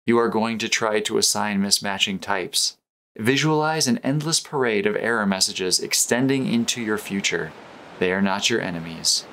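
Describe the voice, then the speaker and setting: A man narrating a guided meditation in a slow, soothing, measured and earnest way. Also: on the low side, a bit bright, rough recording. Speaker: meditation guide, programming teacher.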